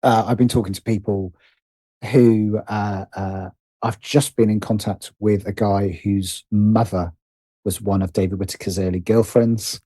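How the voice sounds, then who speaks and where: British man, mellow and thoughtful. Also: lowish voice, fairly even intonation, unhurried, slightly rough, no echo. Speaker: podcast guest, writer and producer. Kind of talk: casual interview conversation.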